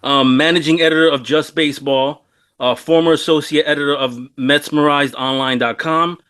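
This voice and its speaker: A man's voice: fairly high pitch, fairly even intonation, fairly quick, fairly bright tone, smooth. A man of forty-nine chatting informally as the host of a sports interview podcast, with slangy, laid-back phrasing. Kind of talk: casual sports interview chat.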